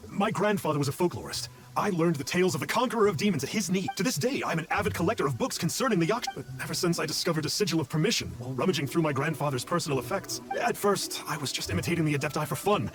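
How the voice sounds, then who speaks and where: A man's voice: fairly high pitch, lively intonation, racing, hardly any pauses, over music. A man playing a pompous fraud who poses as an immortal in a video game, speaking in grandiose, theatrical, archaic phrases. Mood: reverent, earnest. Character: pompous fraud male folklore fan posing as an immortal.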